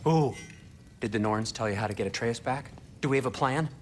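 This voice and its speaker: A man voicing a fussy, anxious, excitable dwarf craftsman in a video game, speaking in a fretful, flustered way. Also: medium pitch, fairly quick, a little hiss or hum, natural voice. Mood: eager. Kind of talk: animated video game acting.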